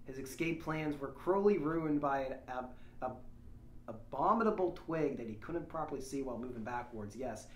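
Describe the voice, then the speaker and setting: A man's voice: mid-range voice, expressive, normal speed. A man hosting a read-along, moving between casual chat and reading a story aloud, recorded on a small shared lapel microphone. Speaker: host of a read-along show. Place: echoey room. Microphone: small lapel microphone shared by the group. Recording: roomy echo, faint noise, small lapel mic.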